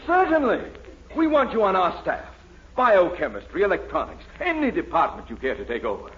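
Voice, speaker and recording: Pompous, high-voiced excitable dean. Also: male voice, brisk, exaggerated voice. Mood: exultant, frantic. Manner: pompous, theatrical exclamations. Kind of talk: 1940s radio comedy acting. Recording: muffled, noisy 1940s radio recording.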